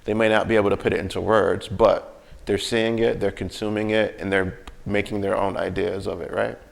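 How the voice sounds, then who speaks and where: An American man with a fairly low voice and a gravelly creak. He talks in a casual, reflective way, thinking through personal experience and often tagging his points with little checks for agreement. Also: little pitch movement, brisk. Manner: conversational, often seeking agreement with tag questions.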